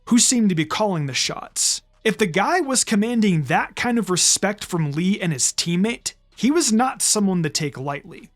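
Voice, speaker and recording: A narrator giving an expressive reading of a fan fiction story. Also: mid-range voice. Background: background music.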